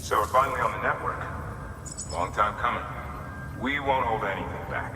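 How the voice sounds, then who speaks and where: An actor playing a wary but courteous, folksy checkpoint worker at a cargo terminal in a video game. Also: male voice, mid-range voice, a little fast, sharp tone. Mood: earnest, grateful. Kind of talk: video game cutscene acting. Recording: distant, echoey hall with noise behind.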